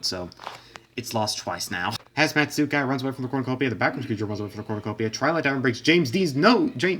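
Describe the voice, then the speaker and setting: Guy rambling fast through a game round, trips over words, dry little asides. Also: mid-range voice, fairly bright tone. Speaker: host narrating a simulation. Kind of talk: casual game commentary.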